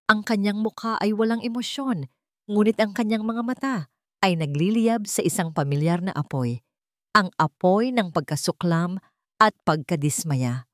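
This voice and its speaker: A woman's voice: on the low side, dry recording, studio quality. A woman reading a scripted romantic drama as a storyteller, in dramatic narration.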